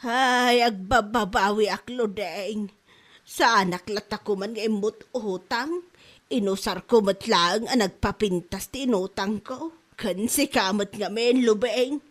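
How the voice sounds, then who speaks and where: A woman's voice: mid-range voice, measured pace, close to an everyday voice. A woman playing a hard-up Filipino mother full of excuses, melodramatic, with an Ilocano accent, in a comedy drama. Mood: regretful. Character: hard-up, excuse-making Filipino mother dodging her debts.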